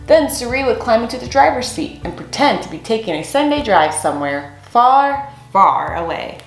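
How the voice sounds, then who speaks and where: A young woman reading a children's picture book aloud as a storyteller, with deliberate, expressive phrasing in a small room. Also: fairly high pitch, gravelly. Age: young adult. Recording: roomy echo, faint music underneath.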